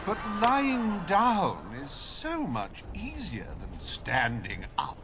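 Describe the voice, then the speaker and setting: A British actor playing a gleefully cruel, dandyish factory tyrant in a game cutscene, with a theatrical, mocking drawl. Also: male voice, on the high side, wildly swooping pitch, slow pace, very noisy, exaggerated voice. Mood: smug menace. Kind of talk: video game cutscene acting.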